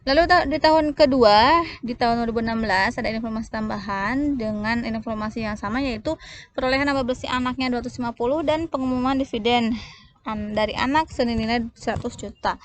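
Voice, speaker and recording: Female tutor, higher-pitched voice, conversational step-by-step teaching, slightly noisy recording. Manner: conversational, checks in with rhetorical questions. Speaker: accounting tutor. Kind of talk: informal tutorial explanation.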